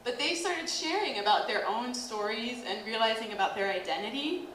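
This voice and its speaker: A woman's voice: on the high side, expressive, normal speed, fairly bright tone, a little hiss or hum. An American woman in her late thirties gives a casual talk to a workshop audience, chatty and full of "like" fillers, laughing often. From United States. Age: 30s. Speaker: workshop speaker. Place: event hall with an audience. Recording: echoey hall.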